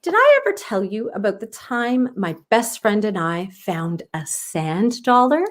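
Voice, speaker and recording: A woman reading a children's storybook as an affectionate, gentle grandmother, tender and unhurried. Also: medium pitch, very animated.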